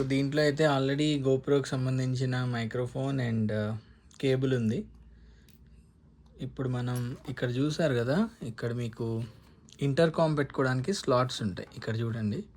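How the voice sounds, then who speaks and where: A man's voice: medium pitch, a little mellow. A man gives a casual, explanatory step-by-step unboxing and installation demonstration, mixing in English terms. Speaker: motovlogger presenting a product unboxing. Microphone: lavalier. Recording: clearly noisy lavalier recording with ambience.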